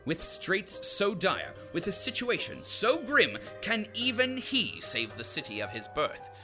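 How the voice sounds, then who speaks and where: A man's voice: sits high, huge pitch swings, unhurried, exaggerated voice. A man playing a melodramatic radio serial announcer, delivering suspenseful cliffhanger narration. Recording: music behind the voice.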